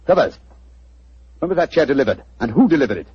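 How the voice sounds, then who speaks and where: An actor playing a brilliant, cool-headed detective who speaks in a crisp, commanding way in a 1940s radio drama. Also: male voice, medium pitch, sing-song, fast, no echo, lo-fi sound. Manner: crisp, commanding, clipped British diction.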